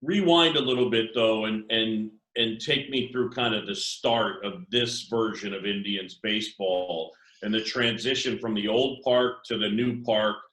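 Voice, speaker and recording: Bright-voiced male co-host in an echoey room. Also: on the low side, unhurried, hardly any pauses. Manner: casual, short agreeing replies. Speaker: co-host, sports announcer. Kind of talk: interview questions.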